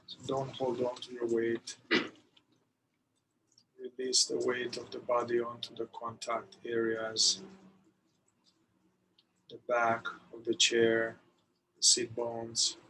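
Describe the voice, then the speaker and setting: A man leading a guided meditation, giving soothing, unhurried instructions with long pauses between phrases. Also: medium pitch, completely flat delivery, sharp tone, a little hiss or hum, low-quality recording.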